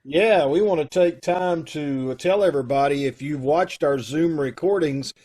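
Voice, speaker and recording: A man's voice: fairly high pitch, unhurried, mellow, husky. A man hosting a live video call from home. He chats casually and rambles, with lots of fillers. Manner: folksy and rambling, with many fillers. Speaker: host of a community theater troupe.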